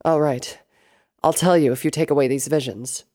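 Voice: medium pitch, fairly even intonation, normal speed, mellow, lightly acted. A fierce mare assassin from a fantasy audiobook, put on by the narrator. She is defiant and hard-bitten, hissing and muttering her words with bitter edge. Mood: grudging.

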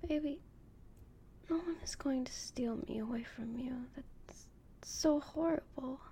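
A young woman whispering slowly and intimately as a doting, possessive girlfriend in an audio roleplay. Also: high-pitched, background noise. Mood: soothing. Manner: soft whispering, slow and intimate.

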